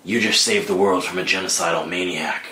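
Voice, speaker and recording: A man playing a stern, bitter masked vigilante, speaking with forceful indignation in a dramatic screenplay read. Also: sits low, fairly even intonation, brisk. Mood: bitter anger. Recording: roomy sound with music underneath.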